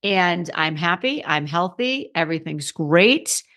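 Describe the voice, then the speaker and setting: A middle-aged woman, slightly hoarse in the morning, hosting a solo podcast in a candid, conversational way and repeating phrases for emphasis. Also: fairly low pitch, lively intonation, measured pace, a bit bright. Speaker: podcast host. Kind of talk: solo motivational podcast talk.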